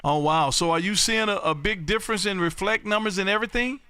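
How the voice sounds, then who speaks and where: Bright, high-pitched American man hosting ham radio. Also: fast talker, a little hiss or hum. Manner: repetitive, folksy patter, often says "man". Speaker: amateur radio operator and live stream host. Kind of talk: lively ham radio live stream chat.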